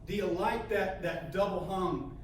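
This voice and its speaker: A man leading a sales training session, halting and repeating words, often ending points with "okay?". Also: high-pitched. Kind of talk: coaching a training session with role-play. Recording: echoey room, mic far off, faint hiss.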